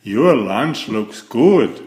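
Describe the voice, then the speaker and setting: A man's voice: mid-range voice, very animated, very slow, a little mellow. A man voicing a wistful, curious young male turtle in a children's storybook, wondering and emphatic. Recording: echoey room.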